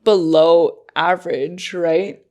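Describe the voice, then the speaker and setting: A woman's voice: fairly low pitch, steady tone, slow pace, warm tone. A woman co-hosting a podcast in casual chat, slightly nasal and full of fillers. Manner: chatty, lots of fillers and likes. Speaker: podcast co-host.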